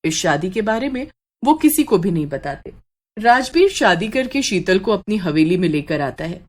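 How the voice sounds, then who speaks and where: A woman's voice: mid-range voice, very animated, normal speed. A woman storyteller reading a scripted horror story.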